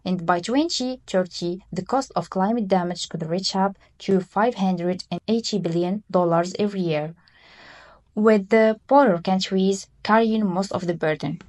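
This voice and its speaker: A young woman from Morocco co-hosts a podcast and explains things from a prepared script. Her voice has a middling pitch, and she reads with clear emphasis. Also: normal speed, a little mellow. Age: 20s. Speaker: podcast co-host.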